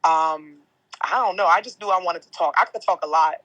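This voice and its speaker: A woman's voice: brisk, fairly bright tone, highs cut off. A young woman on a podcast, a chatty entertainment host who laughs often and tells stories in slangy, casual talk. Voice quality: deeper natural voice. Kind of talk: casual interview chat.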